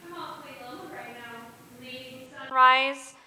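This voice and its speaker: A stern boss who makes threats, played by a woman, clipped and menacing. Her voice is very bright and fairly high. She is heard from a roomy live stage. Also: normal speed. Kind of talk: musical theatre dialogue.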